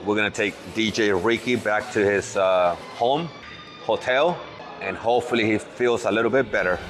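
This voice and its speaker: A man's voice: on the low side, normal speed, music in the background. A man hosting a casual food vlog from a restaurant table, easygoing and repeating words as he thinks aloud. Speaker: vlogger host.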